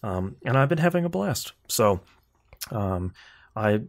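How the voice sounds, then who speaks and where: A man's voice: fairly low pitch, very animated, measured pace. Man chatting casually as a gaming podcast host. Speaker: video game podcast host. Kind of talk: casual podcast chat about video games.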